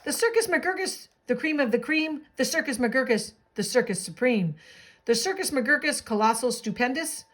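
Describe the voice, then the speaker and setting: Woman acting an eager high-voiced boy ringmaster. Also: moderate pace. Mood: grand, excited. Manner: excited barker-like proclaiming in rhyme. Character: eager young boy, boastful circus ringmaster and showman.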